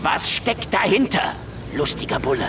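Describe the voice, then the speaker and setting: An actor playing a cynical, tough private detective, sardonic and hard-boiled, in a noir radio drama. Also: male voice, fairly high pitch, sing-song, normal speed, pushed and bright, slight background noise. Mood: menacing, mocking. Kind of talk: noir radio drama with first-person narration. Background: music.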